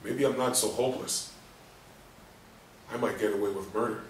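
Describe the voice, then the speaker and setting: A man's voice: mid-range voice, steady tone, moderate pace, lightly acted. A man in a staged play reading, playing a despairing, sardonic hospital patient who broods and questions himself in coarse language. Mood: bitter, brooding. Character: bitter, despairing, sardonic male hospital patient trapped in his body. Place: live stage reading in a theatre room. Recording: roomy stage, distant mic, faint noise.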